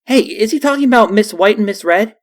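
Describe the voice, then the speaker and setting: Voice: mid-range voice, expressive, a little fast, lightly acted. A performer playing a mild, apologetic young man in a comic dub, earnest and hesitant.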